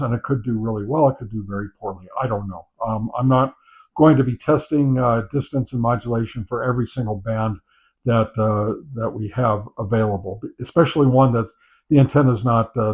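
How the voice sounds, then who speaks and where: A man talks through a hands-on product review in an informal, hobbyist way. He explains haltingly, repeating and qualifying himself as he goes. The recording is dull and lacks fidelity. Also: medium pitch. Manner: halting, repetitive, hedging explainer. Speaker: reviewer presenting a radio antenna test.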